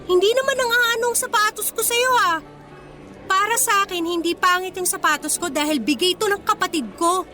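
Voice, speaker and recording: A woman's voice: very high-pitched, fairly quick, pushed and bright, soft background music. A Filipino woman playing a poor, proud and kind schoolgirl in an emotional, earnest radio drama style. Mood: defiant.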